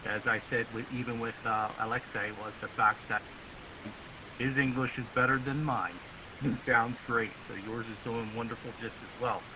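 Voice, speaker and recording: Man, casual and appreciative ham radio chatter, mid pitch, lo-fi radio transmission with noticeable static hiss. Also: steady tone, moderate pace. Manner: rambling, polite, appreciative. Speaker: amateur radio operator. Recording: muffled radio link with clear hiss.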